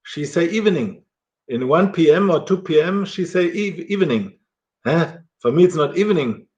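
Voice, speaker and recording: German man speaking halting English. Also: a little high, animated, darkish tone. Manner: halting, repeats words, self-correcting English. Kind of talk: casual talk to camera.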